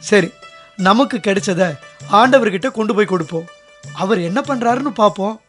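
An actor playing the disciple Peter in a radio drama, speaking in a lively, eager and colloquial way. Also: male voice, sits high, fairly quick. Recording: radio drama with soft music underneath.

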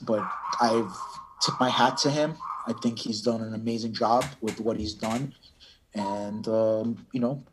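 A man giving casual interview answers, halting and often restarting his phrases. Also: lowish voice, steady tone, background noise. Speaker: cannabis brand owner, podcast guest.

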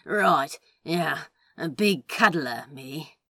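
A woman's voice: sits low, animated, very slow, sharp tone, no echo, clean studio sound, voice pushed down, full character voice. A woman voicing a wry, self-conscious, drily affectionate man in an audio story, with a sardonic drawl. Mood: awkward.

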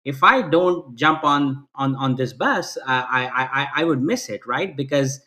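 A man's voice: medium pitch, lively intonation, measured pace, bright, forceful tone. A man, answering interview questions earnestly over a video call, restarting phrases often and ending points with "right?". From Pakistan. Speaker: guest.

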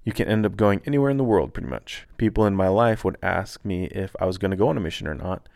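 A laid-back man who grew up in Taiwan, hosting a podcast and calmly, earnestly reading a personal reflection. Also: sits low, fast, vocal fry, dry recording, studio quality. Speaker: podcast host.

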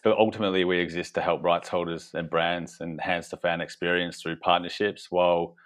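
An Australian man with a very deep voice talks business in an even, flat tone. He pads his answers with ums and you-knows as he explains his work. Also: brisk. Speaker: company co-founder and guest. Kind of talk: conversational interview answers.

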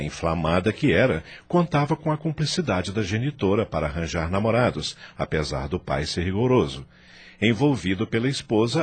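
A man narrating a radio drama in a measured, theatrical way. His voice is very low and heavily raspy, and it rises and falls widely. Faint music plays beneath him.

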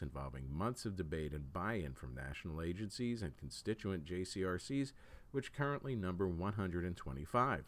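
A man reading a written news article aloud as the host of a news program. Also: sits low.

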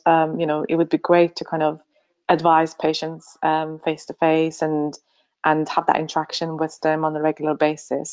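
A woman's voice: low voice, darkish tone. Finnish woman, thoughtful guest with many ums. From Finland. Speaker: pharmacy association chief executive, guest. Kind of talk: remote interview.

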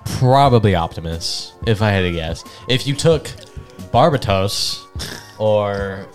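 Low-voiced man, enthusiastic gamer podcaster. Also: animated, takes it slowly. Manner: enthusiastic, profane, slangy. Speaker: podcast host. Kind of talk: casual chat among friends, game ranking. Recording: background music under the voice.

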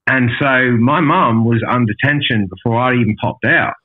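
A man speaks as a podcast guest, telling his story in a candid, matey style and swearing freely. His pitch sits around the middle for a man. The sound is narrow and phone-like, as if through a small radio. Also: moderate pace. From an island outside Australia.

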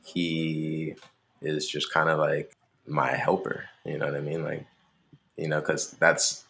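Very deep, gravelly-voiced young man. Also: completely flat delivery, slow pace, a bit bright, slight background noise. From Maryland, USA. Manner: laid-back, rambling, many fillers. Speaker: interview guest, musician. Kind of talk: casual conversational interview.

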